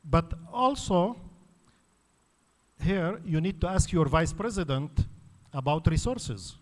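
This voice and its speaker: A man's voice: a little high, lively intonation, slow pace, a little mellow. A man from Lebanon, a native Arabic speaker, giving an academic conference talk in an explanatory way with frequent fillers and restarts. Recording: reverberant hall.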